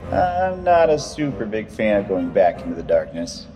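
A man's voice: lowish voice, very animated, moderate pace, background noise. An actor playing a joking, easygoing male adventurer in a fantasy audio drama, casual in manner. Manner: jokey, casual. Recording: long hall reverb, faint music.

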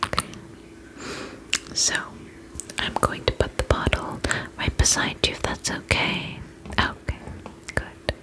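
A woman whispering softly and breathily in an ASMR spa roleplay, gentle and soothing as she attends to the listener. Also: low-quality recording. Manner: gentle, soothing, unhurried reassurance with soft repetitions.

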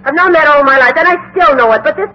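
High-voiced, unnerved medium Sonia played by an actress. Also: female voice, quick pace, lightly acted. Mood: defensive, agitated. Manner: agitated, defensive, emphatic. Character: Sonia, troubled phony medium, stubborn and increasingly unnerved. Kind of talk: 1950s radio drama acting. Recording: muffled, noisy 1950s radio recording.

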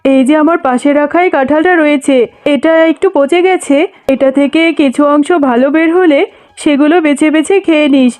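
A woman's voice: high voice, fairly even intonation, brisk, a little mellow, lightly acted. A woman voicing a stingy, haughty tigress mother in a cartoon, scornful and grudging. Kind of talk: cartoon voice acting. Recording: music under the voice.

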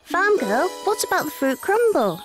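Cheeky cartoon piglet, high lively voice, music behind. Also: female voice, moderate pace. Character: chatty young cartoon pig. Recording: music playing underneath.

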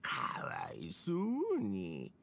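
A man's voice: low voice, wildly swooping pitch, very slow, vocal fry, a little hiss or hum, exaggerated voice. A man playing an ancient, mischievous male fox spirit in a video game, with old-fashioned, theatrical elderly speech. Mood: teasing. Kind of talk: Japanese video game acting.

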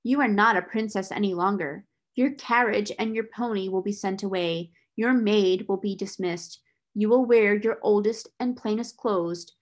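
A cold, scornful schoolmistress of middle age, played by a woman reading a storybook. She speaks in a sharp, imperious way, scolding and domineering, at a middling female pitch. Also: lightly acted.

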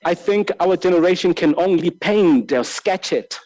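A man from Lesotho talks slowly through his ideas in a lecture hall, his voice mellow and a touch higher than most men's. He stumbles over repeated words and restarts often as he searches for the right phrasing, speaking into a desk microphone. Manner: halting, many repeated words and restarts, gestures with hands. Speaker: filmmaker giving a master class. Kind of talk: reflective spoken lecture. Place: large bright hall with tall windows. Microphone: desk microphone on a stand.

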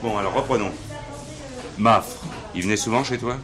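An actor playing a gruff, dogged police inspector in a radio drama, sarcastic and browbeating. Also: male voice, lowish voice, normal speed, crowd noise, lightly acted. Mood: impatient, threatening. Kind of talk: French radio drama acting.

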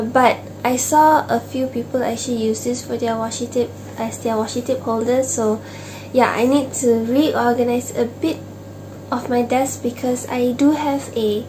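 A young woman, chatting casually to camera in a rambling way with lots of fillers. Her voice is going a little hoarse and she keeps clearing her throat. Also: a little high, background noise. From Malaysia. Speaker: stationery vlogger. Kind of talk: casual unboxing chat to camera. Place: small home office with desk and shelves, indoors.

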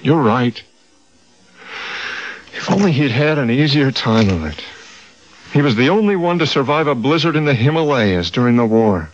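A man's voice: medium pitch, very animated, normal speed, long pauses, a little hiss or hum, close to an everyday voice. Actor as worried, earnest man, swinging intonation. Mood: troubled, regretful. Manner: urgent, earnest. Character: worried, loyal friend, earnest married man. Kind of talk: radio drama acting. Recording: dull sound, music behind.

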